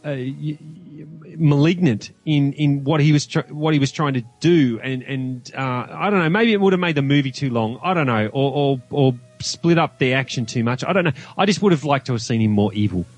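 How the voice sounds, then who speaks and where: A man's voice: medium pitch, moderate pace, few pauses, no echo, faint music behind. A man as a podcast guest in casual film discussion, rambling and stammering as he searches for words. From Australia.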